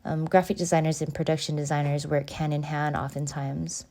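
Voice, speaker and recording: A woman in her thirties from Seattle narrates her design process over a screen recording. Her voice sits low and warm, mellow rather than bright. She keeps it friendly and conversational, full of fillers like "um" and "you know". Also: flat delivery, a little fast. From Seattle, USA. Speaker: graphic designer and vlogger. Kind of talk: casual narrated screen walkthrough.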